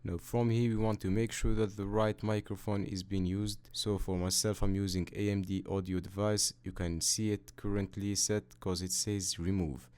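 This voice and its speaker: A man hosting a how-to video, narrating software steps in a matter-of-fact, instructional way. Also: fairly low pitch, level tone, moderate pace, few pauses, clean tone. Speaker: tutorial host. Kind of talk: scripted step-by-step software tutorial narration.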